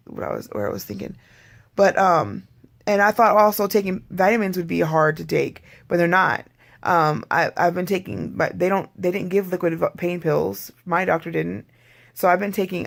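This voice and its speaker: An adult vlogger with a hoarse voice, talking in a rambling, candid video diary and clearing their throat often. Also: mid-range voice.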